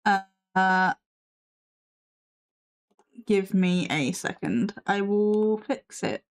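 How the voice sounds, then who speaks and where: Sarcastic woman, slow drawl. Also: mid-range voice, lively intonation. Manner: sassy, skeptical, swears casually. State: losing her voice. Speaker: livestream host reacting to videos. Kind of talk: sarcastic live reaction commentary.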